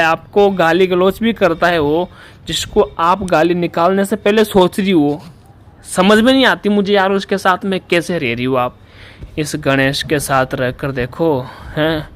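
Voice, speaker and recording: Actor as a slow-talking, swaggering Rajasthani lover. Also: male voice, on the high side, fairly bright tone. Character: swaggering, scheming lover wooing a married woman. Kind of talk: mimicked phone-call comedy skit.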